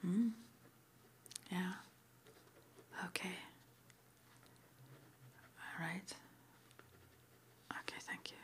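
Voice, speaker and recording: A woman's voice: lowish voice, steady tone. Whispering woman in an ASMR roleplay. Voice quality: breathy whisper. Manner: soft, unhurried, murmuring acknowledgements between questions. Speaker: interviewer at a witch school.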